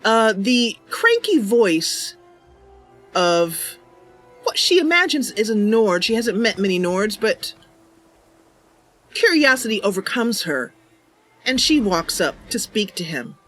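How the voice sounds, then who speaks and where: A woman's voice: medium pitch, sing-song, slow, pauses often, a bit bright, faint music behind. A woman hosting a roleplay game livestream, chatty and welcoming, switching between casual commentary and narration. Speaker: streamer, roleplay game host.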